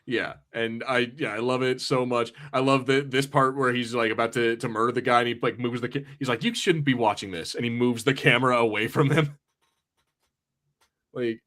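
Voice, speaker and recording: A man's voice: medium pitch, steady tone, normal speed, fairly bright tone. A man chatting casually as a podcast co-host about films, enthusiastic and sweary.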